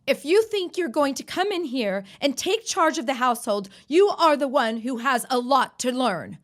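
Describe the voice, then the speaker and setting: Woman playing a high-voiced, proud young bride. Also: expressive, normal speed. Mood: indignant. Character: proud, indignant young bride standing her ground. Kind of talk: earnest audio drama acting.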